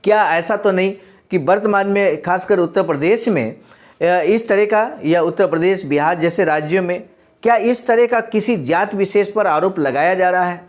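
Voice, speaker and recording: A man's voice: high-pitched, normal speed. A man reading a speech aloud and explaining it, addressing his listeners in an earnest, exhortative way. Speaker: speaker reading and commenting on a historical speech.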